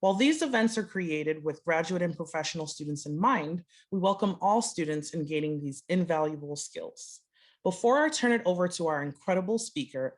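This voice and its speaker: A woman hosting an online workshop over a video call, reading out a prepared, formal introduction. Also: on the low side. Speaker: host introducing a workshop speaker. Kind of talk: scripted event introduction.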